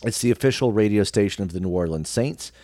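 Male podcast guest, very low pitch, dry sound, reflective and halting casual delivery. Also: expressive, a little mellow, no echo. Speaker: podcast guest, radio personality and actor. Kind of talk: casual conversational interview.

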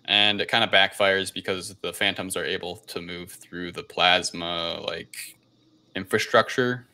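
A man with a very deep voice that still comes across bright and forceful. He talks as a podcast host in casual discussion, hedging and rambling loosely as he recaps a story. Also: fairly even intonation, a little slow.

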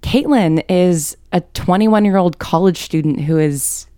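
Low, smooth-voiced woman in a thoughtful interview. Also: unhurried, mellow, dry recording, studio quality. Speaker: writer and interview guest.

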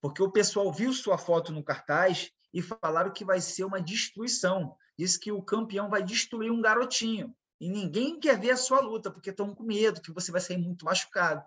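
A Brazilian man talks through his fighting past in a relaxed interview, circling back and repeating words as he acts out what others said. His voice sits a little high and has a husky edge. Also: normal speed, few pauses. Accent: Brazilian Portuguese. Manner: rambling, repeats himself, quotes others in dialogue. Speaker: MMA fighter, guest. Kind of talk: casual interview storytelling.

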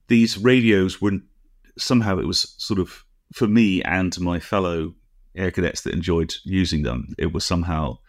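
Male podcast co-host, low register, dry recording, conversational technical explainer, precise and digressive. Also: no room sound.

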